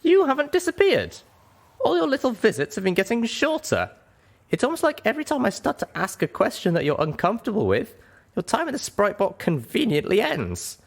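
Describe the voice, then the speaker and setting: Man putting on a high-pitched, accusing young mare. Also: wildly swooping pitch, brisk, creaky, full character voice. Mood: suspicious, accusing. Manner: pointed, questioning. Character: accusing young mare adventurer confronting an evasive friend. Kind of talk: audiobook character dialogue.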